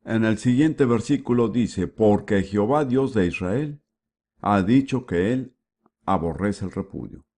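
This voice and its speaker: A man giving the voice-over for a sermon, with a measured preaching delivery and frequent rhetorical questions. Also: on the low side, darkish tone. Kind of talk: dubbed sermon.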